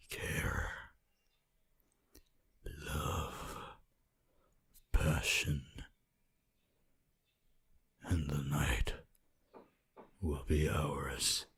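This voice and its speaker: Super deep breathy vampire, possessive n creepy seductive, slow asmr whispering. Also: male voice, full character voice. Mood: tenderly possessive. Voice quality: breathy, husky, often whispering. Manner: slow, theatrical, archaic phrasing.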